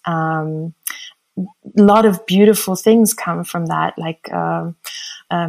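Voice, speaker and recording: A middle-aged woman with a mixed German and American accent, giving thoughtful, hesitant interview answers with many pauses. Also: on the low side, vocal fry. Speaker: interview guest.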